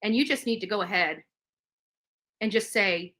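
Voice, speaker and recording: A woman's voice: mid-range voice, normal speed, fairly bright tone. A woman livestreamer delivering a combative, emphatic rant to her viewers, repeating phrases for stress. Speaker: livestream host.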